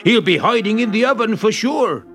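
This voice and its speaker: A man's voice: sits high, animated, moderate pace, a bit bright, soft background music, voice pushed up. A man voicing the giant's wife, a middle-aged woman who coaxes and soothes her husband. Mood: coaxing. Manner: coaxing, placating.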